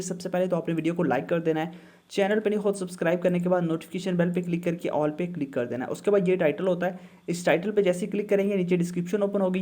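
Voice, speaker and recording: A man's voice: sits high, mellow. A man with a Pakistani accent narrates a casual how-to tutorial as its host, giving step-by-step instructions with frequent check-in tags. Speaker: host of a tech tutorial channel.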